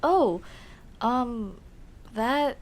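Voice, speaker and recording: A woman playing a young tomboy childhood friend in an ASMR roleplay, speaking in casual boyish slang with hesitant pauses. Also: on the high side, animated. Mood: flustered, hesitant. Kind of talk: ASMR roleplay, intimate one-sided dialogue.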